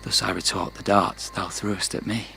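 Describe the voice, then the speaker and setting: An actor playing an ardent, gallant young soldier, speaking romantic verse playfully in a classical radio drama. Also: male voice, low voice, fairly even intonation, moderate pace, gravelly. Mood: playful, amorous.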